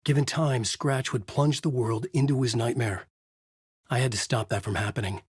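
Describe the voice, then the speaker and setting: Husky man with a brooding noir voice. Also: medium pitch, normal speed, dry recording, clean studio sound. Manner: brooding, noir-like inner monologue. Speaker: video game protagonist, a writer. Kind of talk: hard-boiled game narration.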